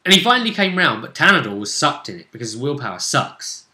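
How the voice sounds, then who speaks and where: A man chatting casually as a game master, retelling a tabletop role-play session in an animated, emphatic way. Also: mid-range voice, quick pace. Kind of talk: casual storytelling chat. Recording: roomy, echoing home recording.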